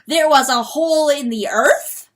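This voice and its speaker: A woman's voice: sits high, unhurried, pitched above the performer's own voice. A woman voicing an anguished, guilt-ridden male rooster in a dramatic animal fantasy audiobook, wailing and choked with self-loathing. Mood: anguished confession. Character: anguished guilt-wracked male rooster, once a proud lord.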